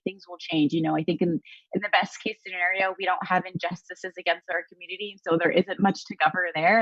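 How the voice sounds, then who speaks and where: A woman with a lowish voice speaks earnestly on a panel, reflective and searching, often pausing on "um" and restarting her thoughts. The sound is dull and lo-fi, very dry, as if coming over a video call. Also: no echo. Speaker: journalist. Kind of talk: panel discussion on a live stream.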